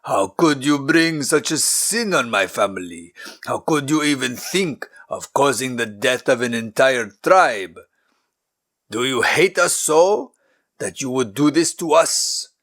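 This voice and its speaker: A man voicing an angry ancient king who speaks in a forceful, accusing way. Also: mid-range voice, lively intonation, a little fast, slightly rough.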